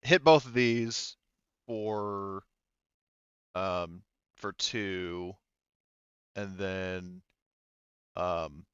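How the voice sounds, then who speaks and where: Smooth, low-voiced male game master, slightly muffled. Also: no echo. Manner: halting, thinking aloud while working through rules and dice. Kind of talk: running a tabletop role-playing game, casual chat.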